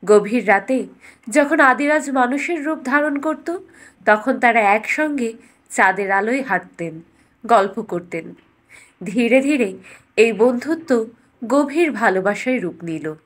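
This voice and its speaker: A woman's voice: fairly high pitch, animated, unhurried, long pauses. A woman storyteller reading a scripted fairytale as narration for a bedtime story.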